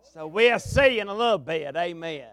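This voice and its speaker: A man with a Southern US accent preaching a revival sermon in a folksy, drawn-out cadence, with some gravel in his voice, speaking into a pulpit mic in a large tabernacle. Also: high voice, expressive, fairly bright tone, no echo. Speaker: pastor, guest preacher. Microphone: amplified mic at a pulpit.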